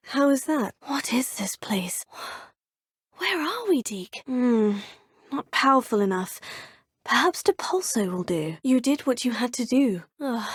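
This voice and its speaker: A woman's voice: fairly high pitch, dry recording, studio quality. An actress voicing a young female student witch in a video game, speaking in a polite, proper way. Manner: polite, proper, crisp phrasing. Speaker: player character.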